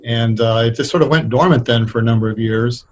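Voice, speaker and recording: A middle-aged or older American man explaining things conversationally as an interview guest, thoughtful and halting as he picks his words. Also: medium pitch. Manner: hesitant, thoughtful, halting delivery. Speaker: interview guest, radio playwright.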